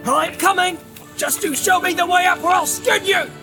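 A man's voice: extremely high pitch, fairly even intonation, moderate pace, over music, pitched above the performer's own voice. A man voicing a loyal young male hobbit who cries out in urgent, breathless bursts. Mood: defiant.

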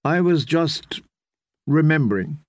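Dude doing a bossy queen voice, lifted up, sharp and cold. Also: a little high, expressive, slow, dry recording, studio quality. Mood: imperious. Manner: cutting, commanding. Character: imperious, scornful queen.

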